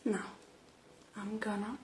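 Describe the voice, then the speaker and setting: A woman doing a gentle, unhurried ASMR roleplay, speaking softly and slipping into breathy whispers close to the microphone. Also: medium pitch, flat delivery, very noisy. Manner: gentle, unhurried, murmuring to the listener. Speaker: ASMR creator playing a robot repair technician.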